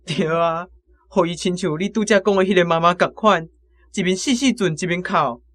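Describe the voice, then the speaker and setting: Teasing young soldier, sharp bright voice, mocking, exaggerated cooing, radio drama. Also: male voice, high voice, a little fast, no room sound. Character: teasing young male soldier mimicking a doting madam.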